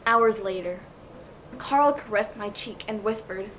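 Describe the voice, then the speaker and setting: A woman's voice: medium pitch, measured pace, a bit bright. A woman narrating a comedic dramatic reading of fan fiction in a mock-serious storybook manner. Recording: clearly noisy home recording.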